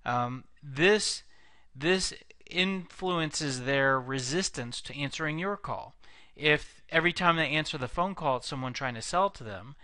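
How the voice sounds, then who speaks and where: Bright, clear-voiced man teaching sales. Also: mid-range voice, dry recording. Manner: conversational, frequent fillers and restarts. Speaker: sales trainer. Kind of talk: instructional video lecture.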